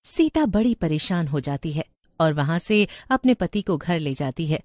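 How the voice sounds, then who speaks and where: A woman's voice: low-pitched, animated, measured pace, a little mellow, dry recording, clean studio sound. A woman narrating a scripted story as the storyteller.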